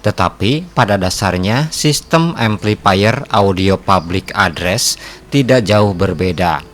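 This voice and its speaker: A man reading scripted narration for a repair tutorial in a measured, formal, instructional way. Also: on the low side, expressive, fairly bright tone. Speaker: host of an electronics repair channel.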